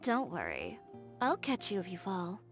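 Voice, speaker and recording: A self-assured young tailor from a video game, frank and a little dry. Her voice sits at an ordinary mid pitch and stays composed, never fussy. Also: wildly swooping pitch, normal speed, fairly bright tone, faint music behind. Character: confident, assertive young female tailor.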